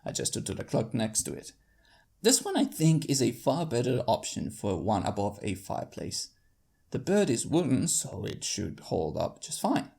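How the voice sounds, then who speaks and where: A storyteller reading first-person fiction aloud. The voice is husky and creaky at a middle pitch, and it moves freely up and down as each phrase is placed with deliberate drama. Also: wildly swooping pitch, warm tone. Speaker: fanfiction narrator. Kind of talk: scripted first-person audiobook narration in character.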